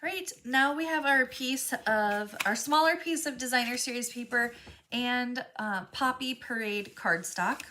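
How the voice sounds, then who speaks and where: A woman teaching a live step-by-step crafting class, her voice stuffy and a little froggy from a cold. She talks in a chatty, friendly way, with plenty of ums as she works. Her tone is bright and pitched a touch high. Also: unhurried, a little hiss or hum. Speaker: craft instructor.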